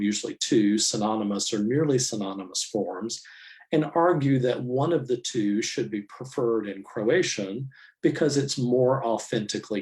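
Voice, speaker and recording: Man giving a measured academic lecture. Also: on the low side, darkish tone, poor audio. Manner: measured, explanatory, with frequent "um" and "so". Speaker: university linguistics professor giving a public lecture.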